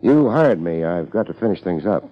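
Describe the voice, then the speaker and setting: A man acting a laid-back, dryly wry private detective in deadpan 1950s radio drama style. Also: sits low, normal speed. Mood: quiet, probing. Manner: deadpan, understated. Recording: muffled 1950s radio recording.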